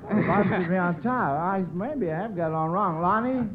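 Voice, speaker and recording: An American man hosting a radio quiz show, ad-libbing haltingly with plenty of hems and haws. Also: high voice, expressive, a crowd in the background. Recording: old radio broadcast, narrow band, faint noise.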